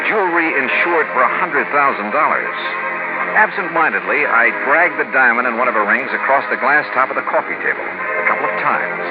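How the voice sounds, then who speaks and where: An actor playing a shrewd, wisecracking insurance investigator in an old radio detective drama, breezy and pointed. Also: male voice, mid-range voice, close to an everyday voice. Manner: breezy, pointed, clipped questioning. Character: shrewd, skeptical, wisecracking male insurance investigator narrating his case. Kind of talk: 1950s radio detective acting. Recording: muffled old radio recording with loud music.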